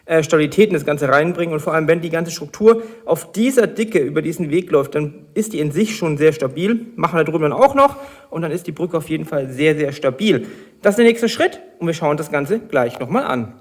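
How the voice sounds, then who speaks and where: High-voiced man with a cold. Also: animated, fairly quick. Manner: chatty, explains each building step. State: has a cold. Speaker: LEGO builder and channel host. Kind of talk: casual build vlog narration.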